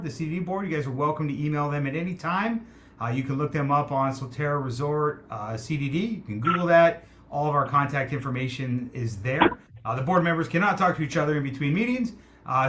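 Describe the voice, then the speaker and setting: A man's voice: fairly high pitch, fairly bright tone, a little hiss or hum. A man hosting a community town hall on a video call, welcoming people and talking with many ums and uhs. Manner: friendly, filler-heavy, welcoming. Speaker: meeting host. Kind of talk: online town hall moderation.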